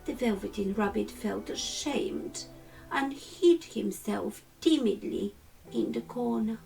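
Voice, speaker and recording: A woman narrating a children's storybook as a storytime reader, with measured, deliberate phrasing. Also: fairly high pitch, gravelly, dark tone, far from the mic, faint music behind, poor audio.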